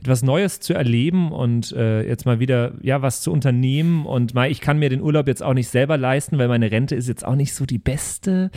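A man chatting casually in a group as a tabletop role-playing player on a podcast, thinking aloud in a halting way. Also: medium pitch, mellow.

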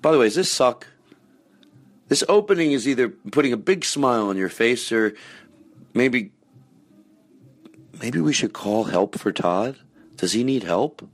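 A man's voice: mid-range voice, animated, unhurried, long pauses, soft background music. A man hosting a comedy podcast, talking casually in a goofy, playful way with earnest asides. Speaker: podcast host.

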